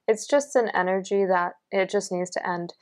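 A woman's voice: mid-range voice, normal speed. Young woman tarot reader, earnest and reassuring, voice slightly worn. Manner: earnest, encouraging, lots of fillers. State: losing her voice. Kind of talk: pick-a-card tarot reading. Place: indoors at a table, only hands seen.

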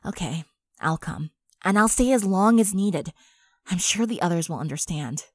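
A woman voicing a concerned, firm but caring young friend in a measured, earnest way for a fan fiction drama. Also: lowish voice, lively intonation, slightly rough, no echo, studio quality. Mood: reassuring.

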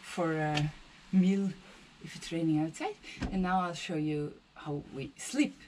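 A woman in her thirties giving a casual, halting demonstration to camera, as if searching for words. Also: sits low, animated. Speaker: vlogger demonstrating a camper van setup. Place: inside and beside a van in a large metal shed. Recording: clearly noisy, clattery shed recording.

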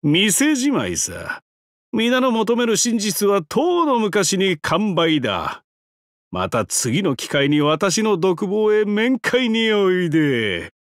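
Depraved male trickster giant, high-pitched, unhurried, theatrical, taunting, video game villain. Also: wildly swooping pitch, dry recording, clean studio sound, full character voice. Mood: sinister welcome. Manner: theatrical, taunting, drawn-out words, sudden swoops and cackles. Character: mocking, depraved trickster giant-god, bound prisoner and profiler. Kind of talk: theatrical game character voice lines.

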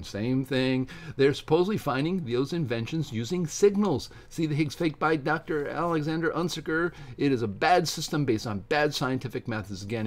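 Emphatic middle-aged American man. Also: medium pitch. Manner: emphatic, opinionated, halting when reading aloud. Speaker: science video host. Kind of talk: casual vlog commentary with reading aloud from a book. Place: small home study with bookshelves.

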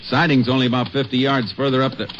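An actor playing a terse, determined American Texas Ranger in a matter-of-fact 1950s radio drama style. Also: male voice, mid-range voice, fairly even intonation, quick pace, background noise, lightly acted. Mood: businesslike. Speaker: actor, lead and narrator. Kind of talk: 1950s radio drama acting and first-person narration. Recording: muffled old radio recording, music cues.

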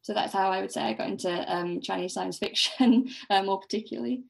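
A young woman with a medium-pitched voice and noticeable creak. She speaks as a guest in a casual interview, feeling her way thoughtfully and hesitantly through each answer. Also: fairly even intonation, a little fast. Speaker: podcast guest, researcher.

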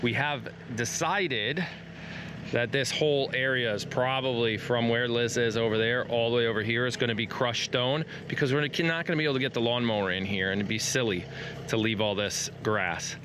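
A man's voice: mid-range voice, normal speed, background noise. Chatty man filming himself outdoors. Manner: chatty, joking, walking while filming. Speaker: farm vlogger. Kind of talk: casual vlog narration. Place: outdoors on a farm. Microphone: handheld camera at arm's length.

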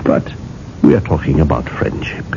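An actor playing a shrewd, taunting dealer in eternal life in a radio drama, theatrical and deliberate. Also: male voice, very deep voice. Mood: scornful. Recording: muffled, noisy old radio broadcast.

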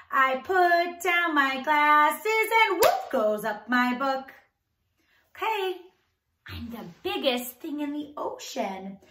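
A woman in her thirties doing an animated read-aloud for young children, enunciating with exaggeration and asking them questions as a teacher would. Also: high voice, roomy sound. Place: small indoor room.

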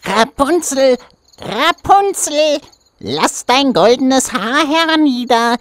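A fairy-tale prince disguised as a witch, voiced by a woman, calls out in a high, put-on singsong. The words are stretched very slowly, over faint background noise. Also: lively intonation. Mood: coaxing, calling. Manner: put-on, slow singsong calling. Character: young prince imitating a witch's call.

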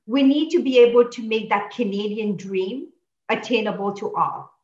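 A woman's voice: a little high, moderate pace, a little mellow. A woman in her forties from Mauritius with a French-accented English, speaking earnestly and passionately as a political candidate on a video call from a home office. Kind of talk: campaign talk on a video call. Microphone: video call webcam. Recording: echoey, dull lo-fi call audio.

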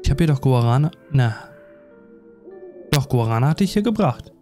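Mellow relaxed guy chatting away over a video game, kinda rambling, music in the back. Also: mid-range voice, moderate pace. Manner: chatty, rambling, self-deprecating. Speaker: gaming YouTuber. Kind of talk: casual let's play commentary.

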